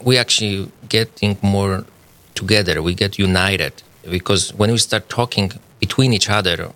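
A man's voice: deep voice, pushed and bright. A man in his forties with a Polish accent, speaking English as a second language as an earnest radio guest who searches for words. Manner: earnest, searching for words, non-native phrasing. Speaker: guest, scientist. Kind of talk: radio studio conversation. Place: radio studio.

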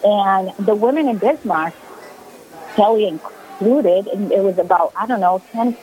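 A woman's voice: mid-range voice, measured pace, mellow, poor audio. A woman in her forties from Brazil, a guest on a radio call-in interview, telling her story earnestly with many fillers. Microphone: phone call.

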